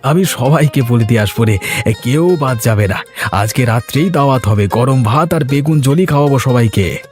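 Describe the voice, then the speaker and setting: A man voicing an excitable, proud middle-aged village father in a moral-story cartoon, with a folksy, exclamatory manner. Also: medium pitch, moderate pace, soft background music, lightly acted. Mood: eager.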